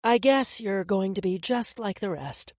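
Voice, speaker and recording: A woman voicing a calm, cruel woman who toys with her captives, speaking softly and with quiet menace. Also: mid-range voice, a little slow, dry recording, studio quality. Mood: cold, menacing. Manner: soft, coolly menacing.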